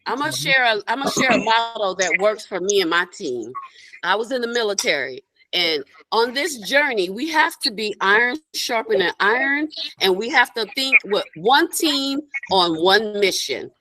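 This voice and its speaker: A woman's voice: mid-range voice, lively intonation, moderate pace, sharp tone, a little hiss or hum, rough recording. A woman giving an earnest, rallying talk to a group on a call. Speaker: webinar participant.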